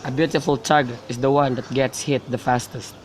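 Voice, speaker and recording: A man's voice: medium pitch, fairly even intonation, a little fast, lightly acted, rough recording. A young man playing a concerned, sensible cousin in an amateur radio drama of modern-English Shakespeare, with birdsong behind him. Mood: advising. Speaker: student actor. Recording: faint background noise with outdoor birdsong.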